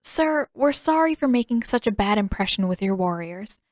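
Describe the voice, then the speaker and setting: A woman's voice: mid-range voice, animated, normal speed, dry recording, studio quality, natural voice. A woman voicing an earnest, determined teenage girl in a story, speaking politely and carefully, with a pleading manner.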